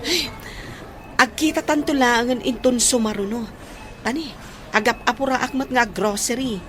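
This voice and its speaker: A woman playing a chatty, gossipy young woman in a Filipino radio drama, animated and breezy. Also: fairly high pitch, normal speed, faint music behind, lightly acted. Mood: evasive, hurried.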